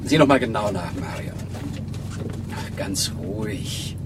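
A man's voice: fairly low pitch, lively intonation, unhurried, very noisy. An actor playing a polite, cultured con man in a German crime radio drama. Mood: soothing. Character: smooth-talking, cultured male swindler, polite and placating.

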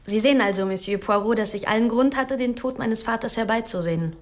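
An actress playing a cool, candid young heiress in a radio play, composed, blunt and matter-of-fact. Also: female voice, fairly high pitch, fast, natural voice. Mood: cool candour.

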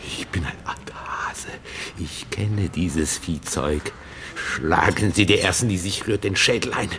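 An actor in a German radio drama playing a delirious, paranoid seaman who veers between hushed secrecy and frantic cries. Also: low voice, unhurried, in a whisper, full character voice. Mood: secretive, menacing. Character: delirious, paranoid seaman raving about monstrous pink toads.

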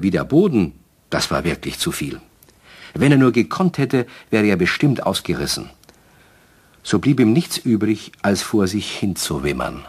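A man's voice: deep voice, husky, exaggerated voice. A man narrating a children's radio play as a storyteller.

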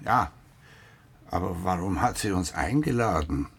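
Deep, husky, grumpy inspector. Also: male voice, expressive, a little slow, full character voice. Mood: grumpy, reluctant. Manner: curt, reluctant, grumbling. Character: grumpy, sceptical older male police inspector. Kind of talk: radio drama dialogue.

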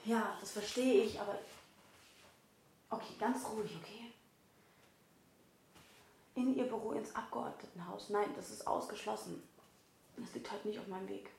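A woman's voice: medium pitch, normal speed, vocal fry, pauses often, a little mellow, natural voice. An actress playing a guarded woman in her twenties, curt and controlled, in naturalistic radio drama style. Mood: firm, controlled. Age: 20s. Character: guarded young drug dealer woman, evasive and firm. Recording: echoey room, faint noise.

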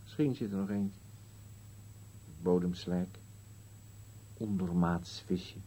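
A deep-voiced, gloomy old husband. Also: male voice, steady tone, slow pace, warm tone, slight background noise. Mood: dry, musing. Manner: terse, contradicting, musing. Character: gloomy, contrary elderly husband on a park bench. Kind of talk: Dutch-language radio play acting.

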